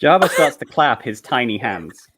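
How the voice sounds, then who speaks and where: Man, mid-pitched, animated narrator, scripted stage directions, live comedy table read. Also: normal speed, background noise.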